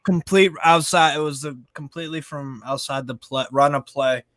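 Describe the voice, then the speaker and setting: A man's voice: on the high side, fairly even intonation, normal speed, fairly bright tone, no echo, clean studio sound. A man in his twenties co-hosting a football show, talking about matches with punchy enthusiasm. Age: 20s. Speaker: football podcast co-host. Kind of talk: casual chat.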